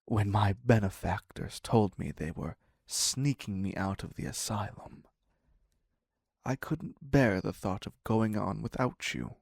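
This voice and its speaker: A man playing an obsessive, clingy criminal captor in an intimate audio roleplay, husky and halting, slipping into whispers. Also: on the low side, darkish tone, no room sound, studio quality, full character voice. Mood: wounded, pleading. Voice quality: husky, breathy, gravelly. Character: obsessive male yandere captor, ex-asylum criminal, clingy and needy.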